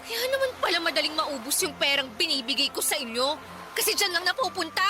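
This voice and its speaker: A woman's voice: high-pitched, very animated, fast talker, background noise. A woman playing a responsible, fed-up young eldest daughter in an emphatic radio drama style. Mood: indignant. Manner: indignant, scolding, emphatic.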